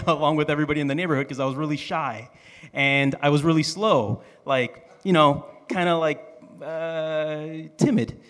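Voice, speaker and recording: A man's voice: on the high side, a bit bright. Man telling stories in a reverberant hall. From Dominican Republic and Miami, USA. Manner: informal, joking, swearing. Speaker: storyteller. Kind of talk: personal storytelling on stage.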